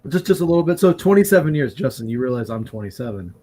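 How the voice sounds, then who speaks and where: A man in his twenties hosting a casual chat, excitable and quick, swearing freely. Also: medium pitch, slight background noise. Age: 20s. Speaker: podcast host.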